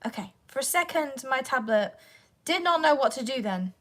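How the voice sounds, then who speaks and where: A woman voice actor with a sore, croaky throat, rambling casually to her livestream audience and talking to herself while she fights with her devices. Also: sits high, animated, moderate pace, fairly bright tone.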